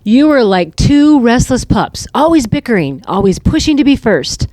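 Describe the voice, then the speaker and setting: A woman playing a wise, tender older mother in an audio drama, speaking in a gentle, reassuring and earnest way. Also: fairly high pitch, sing-song, normal speed, dry recording. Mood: fond, reassuring.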